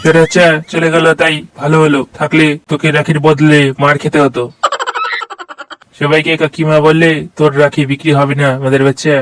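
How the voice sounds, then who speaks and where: A man's voice: on the high side, level tone, a little fast, noisy background, lightly acted. A man voicing a wry, worried cartoon sidekick who comments drily in a comedy short. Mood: wry, scolding. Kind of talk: comic cartoon dialogue in Bengali.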